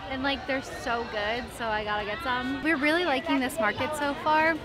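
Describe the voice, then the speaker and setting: A young woman vlogging at an outdoor night market, cheerful and relaxed. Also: high-pitched, normal speed. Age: young adult. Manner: cheerful, relaxed commentary to camera. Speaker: travel vlogger. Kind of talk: casual travel vlog. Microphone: camera held at arm's length. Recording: loud crowd behind.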